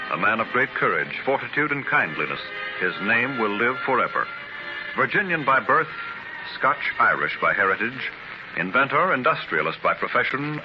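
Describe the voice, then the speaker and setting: A man narrating a biographical radio drama in a declamatory, stately style. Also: medium pitch. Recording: dull 1930s radio transcription with music. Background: music.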